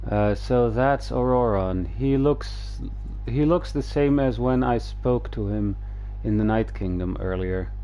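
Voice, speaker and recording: A man's voice: medium pitch, unhurried, mellow, clear, resonant tone. A man streaming a video game, giving casual, offhand live commentary in a laid-back way. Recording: game music behind the voice.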